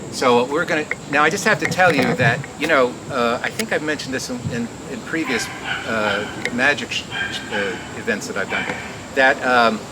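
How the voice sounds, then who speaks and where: A man hosting a live outdoor garden show, welcoming people and doing magician's patter in an informal, halting, rambling way. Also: medium pitch. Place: outdoor community garden event. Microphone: live mic through a speaker. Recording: very noisy outdoor live recording.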